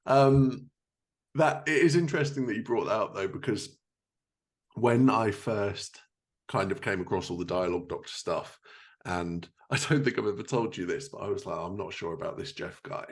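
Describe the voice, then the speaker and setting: A man's voice: fairly low pitch, moderate pace. A man hosting a podcast in a casual, anecdotal chat style, with jokey asides and self-corrections. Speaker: podcast host, fiction writer.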